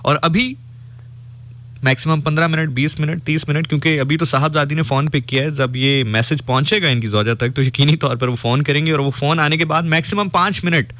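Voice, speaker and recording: A man's voice: on the high side, fairly quick, fairly bright tone, no room sound. A man with a Pakistani accent, a radio host telling a story conversationally and reflectively, dropping in English phrases.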